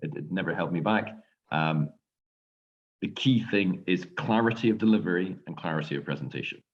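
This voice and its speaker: A man with an Irish accent, a law lecturer and barrister, calmly answering students' questions in an online lecture. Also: deep voice, fairly quick. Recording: dull lo-fi audio.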